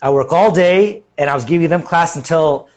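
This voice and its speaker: An American man who teaches English, talking easily in a relaxed, casual chat full of fillers and restarts. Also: a little high, normal speed. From United States. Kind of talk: casual conversation.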